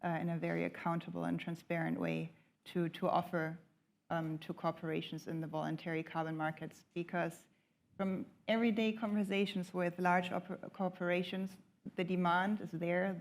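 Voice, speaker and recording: Woman, panelist, emphatic, short listed phrases, low voice, gravelly. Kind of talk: panel discussion remarks.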